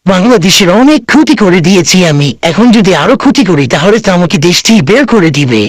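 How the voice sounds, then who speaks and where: A man's voice: sits high, big pitch swings, quick pace, no echo. A man voicing a fawning elderly politician in a comic cartoon, sweet-talking and pleading to placate a lover. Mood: wheedling. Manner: wheedling, sweet-talking with pet names.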